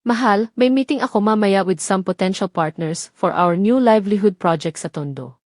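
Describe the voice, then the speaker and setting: A woman's voice: mid-range voice, normal speed, a little mellow, no room sound, natural voice. Woman acting a young wife, Filipino accent, affectionate, supportive, audio drama, dry recording.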